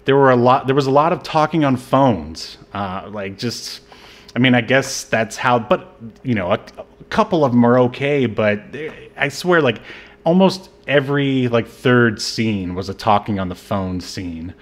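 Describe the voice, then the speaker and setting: Man, mid-pitched, wry co-host in a laid-back film review chat. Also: expressive, unhurried. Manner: wry, sarcastic. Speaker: podcast co-host. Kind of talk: casual movie review chat.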